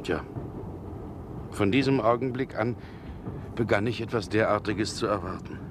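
Male role, played by an actor: worldly former lover, sardonic and deliberate, vintage radio drama with strong background noise. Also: on the low side, close to an everyday voice. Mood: brooding, musing. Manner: mocking, musing, deliberate. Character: ironic, self-assured gentleman, mocking former lover. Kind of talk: 1960s German radio play acting. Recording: noisy vintage 1960 radio recording.

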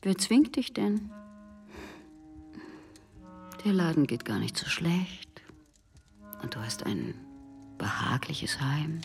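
A watchful shopkeeper's wife in a radio drama. Her voice sits fairly low yet moves through a wide melodic range, measured and controlled as she questions her husband. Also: big pitch swings, creaky, far from the mic, faint music behind, exaggerated voice. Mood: cool, coaxing. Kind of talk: German radio drama acting.

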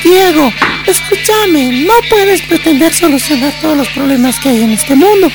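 An actress playing a caring, optimistic friend in a radio drama, earnest and consoling. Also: female voice, sits high, expressive, moderate pace, loud music behind the voice, rough recording. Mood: reassuring, earnest.

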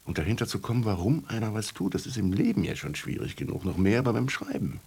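An actor playing a grumbling, musing writer in a radio drama, muttering to himself in a husky voice. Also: male voice, lowish voice, lively intonation, quick pace, darkish tone, exaggerated voice. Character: grumbling, musing male writer fond of red wine.

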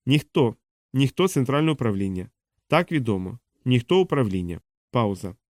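Audiobook sci-fi computer, acted by a man, indifferent and fragmentary, each word measured apart, medium pitch. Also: sing-song, a little mellow, no room sound, studio quality, lightly acted. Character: indifferent translating machine speaking in broken fragments.

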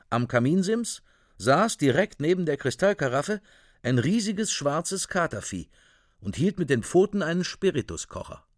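A man narrating a radio drama like a report, deliberate and matter-of-fact, on a close studio microphone. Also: fairly high pitch, dry recording.

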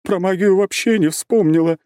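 Man voicing an excitable, high-pitched distraught middle-aged mother. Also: normal speed, dry recording, clean studio sound, lightly acted. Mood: agitated, indignant. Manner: breathless, emotional, exclamatory. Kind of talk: audiobook character voice.

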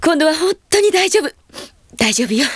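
An actress playing a proud, commanding heroine and elder sister in an anime audio drama, poised and theatrical. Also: female voice, high-pitched, big pitch swings, normal speed. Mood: gentle, relieved.

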